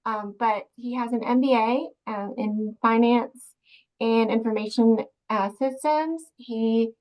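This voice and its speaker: A woman with a fairly high voice hosts a video call meeting. She introduces the guest haltingly and often pauses. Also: steady tone, highs cut off. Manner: halting, many ums and uhs. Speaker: host of a church career ministry. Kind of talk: introducing a speaker.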